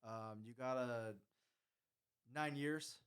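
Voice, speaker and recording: A man chatting casually in halting phrases, heard quietly from off the microphone. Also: medium pitch, clean tone, dry recording, studio quality. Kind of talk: casual chat. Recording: quiet, off-mic voice.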